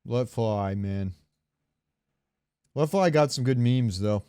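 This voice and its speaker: A man's voice: mid-range voice, slow, mellow, clear, resonant tone, no echo. A man hosting a casual gaming podcast, joking and opinionated. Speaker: podcast host.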